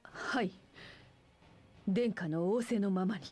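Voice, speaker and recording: A woman's voice: medium pitch, moderate pace, mellow, natural voice. A woman playing a loyal, stern military officer in a Japanese video game, speaking formally and deferentially.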